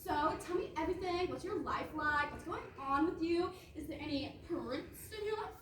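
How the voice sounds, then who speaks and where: A woman's voice: very high-pitched, expressive, normal speed, a bit bright. A woman playing a perky, self-absorbed young princess in a comic stage play, gushing and bossy. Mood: breezy, catty. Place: roomy indoor stage, recorded from afar. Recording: echoey room, distant mic, noisy.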